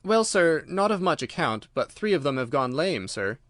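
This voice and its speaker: A man's voice: a little high, sing-song, normal speed, fairly bright tone, no echo, studio quality. A man voicing a deferential young stable lad in an audiobook, respectful and hesitant.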